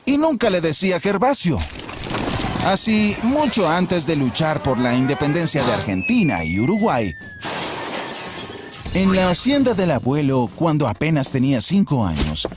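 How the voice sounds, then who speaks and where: A man narrating a children's cartoon story in an expressive, storytelling way. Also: fairly high pitch, unhurried, noisy background. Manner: expressive, storytelling delivery with repeated refrains.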